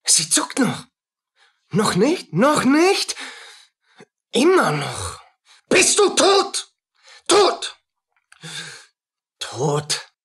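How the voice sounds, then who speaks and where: Radio drama: feverish, haunted soldier and murderer. Very husky, very slow, pitch all over the place. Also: male voice, wildly swooping pitch, a bit bright, full character voice. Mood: frantic. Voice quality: husky, rough. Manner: agitated, fragmented, muttering and crying out. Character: tormented, feverish soldier, a murderer unraveling in guilt. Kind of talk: radio play acting.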